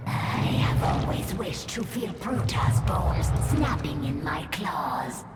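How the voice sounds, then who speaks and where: A savage, proud alien broodmother, menacing and formal. Her slow, swooping speech echoes heavily in a dramatic game. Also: low voice, very animated, full character voice. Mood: savage, scornful. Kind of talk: dramatic video game acting. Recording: echoing, distant, under loud game music.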